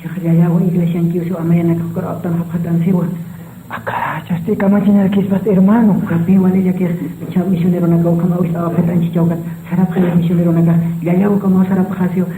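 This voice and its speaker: An anxious village church brother in a radio serial, acted by a man. His voice is very mellow and dark, set in an echoey room with faint noise. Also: high voice, level tone, quick pace, natural voice.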